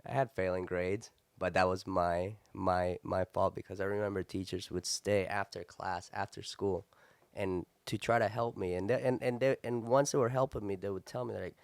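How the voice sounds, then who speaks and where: Low smooth-voiced man. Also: fairly even intonation, moderate pace, no echo. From Colombia. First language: Spanish. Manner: earnest, reflective storytelling. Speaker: podcast host. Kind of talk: casual interview chat.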